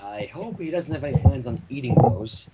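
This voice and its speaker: A man reading fan fiction aloud as an animated story narrator, with casual asides. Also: mid-range voice, brisk, very noisy.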